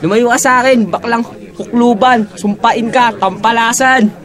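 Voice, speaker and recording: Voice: medium pitch, steady tone, normal speed, fairly bright tone, lightly acted. A performer in an amateur radio drama playing a brash young friend with a campy, exclamatory manner. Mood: angry, scornful.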